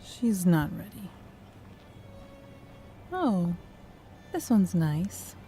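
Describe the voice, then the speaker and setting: A woman's voice: lowish voice, sing-song, very slow, warm tone, faint music behind, heavily acted. An actress playing a tender, secretive woman professor with a flowery, effusive way of talking in an audio drama. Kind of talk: naturalistic audio drama acting.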